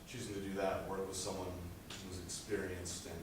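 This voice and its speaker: Teen boy, low monotone voice, earnest student presenter, distant mic in an echoey school room with noise. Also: moderate pace. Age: teens. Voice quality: low speaking voice. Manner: earnest, rambling with many "uh" fillers. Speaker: high school student presenting a senior project. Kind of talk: presentation speech.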